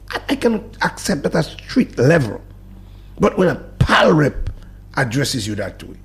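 A man with a Dominican accent, a guest on a talk-radio show, speaking in emphatic, animated bursts that drop into low mutters. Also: gravelly. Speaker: studio guest. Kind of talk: passionate talk-radio discussion.

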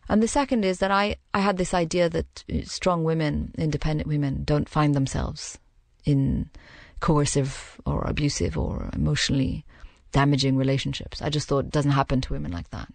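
Low, gravelly-voiced woman recounting calmly. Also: no echo. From Middle East. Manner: reflective and measured, pausing to find words. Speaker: interviewee. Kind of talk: personal account in an interview.

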